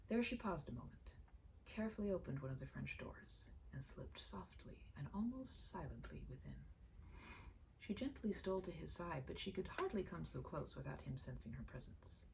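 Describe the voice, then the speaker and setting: A woman narrator reads a scripted story with dramatic delivery. Her voice is deep for a woman and gravelly at the edges, often hushed. There is noticeable background noise behind her. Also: low-quality recording.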